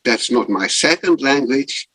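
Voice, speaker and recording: Slow, thoughtful man on a video call. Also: mid-range voice, lively intonation, fairly bright tone, husky, dry recording. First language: not German. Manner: slow, thoughtful, pausing often. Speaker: guest improviser. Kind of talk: casual interview over video call.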